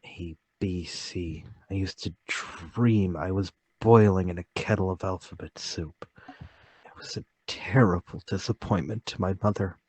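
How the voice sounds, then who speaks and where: A man acting a low-voiced, troubled, lonely confessor. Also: a little mellow, husky. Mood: mournful confession. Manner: halting, pleading, intense. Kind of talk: dramatic radio-play table read.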